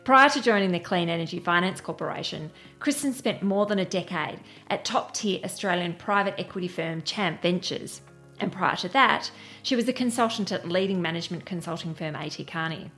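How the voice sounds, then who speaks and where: A woman hosting a podcast, reading a scripted introduction clearly and then asking interview questions. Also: on the low side, brisk, a bit bright, faint music behind. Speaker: podcast host.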